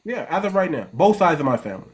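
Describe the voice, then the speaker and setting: An American man hosting a heated live call-in debate, insistent and lecturing, piling up rapid repeated words. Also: fairly high pitch, sing-song. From United States. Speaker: host.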